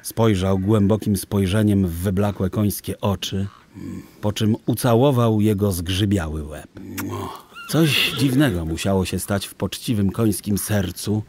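A man narrating a children's story in an expressive, theatrical, deliberate literary manner. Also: on the low side, a little hiss or hum. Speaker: audiobook narrator.

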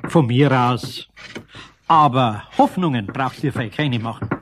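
An actor playing a skeptical, kindly older master carpenter with a Bavarian accent in a radio play, husky and grumbling. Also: male voice, mid-range voice, fairly bright tone, slight background noise, close to an everyday voice. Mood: grumpy, skeptical. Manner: grumbling, unhurried, dismissive. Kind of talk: 1970s radio play dialogue.

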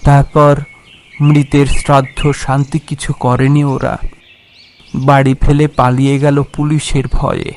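A man playing a grave, measured cremation-ground priest in a horror cartoon. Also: mid-range voice, little pitch movement, a little mellow, slight background noise, close to an everyday voice. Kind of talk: Bengali horror cartoon voice acting.

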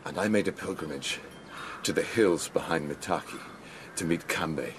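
Haughty warrior-blooded nobleman: low voice, formal menace, radio drama over faint ambience. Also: a bit bright, slight background noise. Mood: proud confession. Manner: formal, disdainful, measured menace. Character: proud, cold aristocratic lord with a warrior's romantic streak.

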